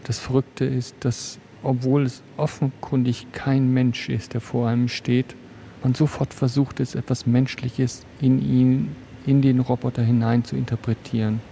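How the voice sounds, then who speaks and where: A man's voice: mid-range voice, level tone, a little slow, creaky, mellow. A man working as a reporter, describing what he observes on the spot. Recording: faint noise, location ambience.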